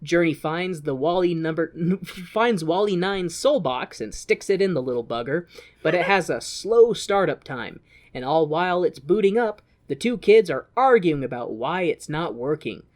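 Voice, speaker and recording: Animated, theatrical man recapping a story. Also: on the high side, normal speed. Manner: theatrical, sardonic, sweary. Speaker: podcast co-host. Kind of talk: comic plot recap, casual chat.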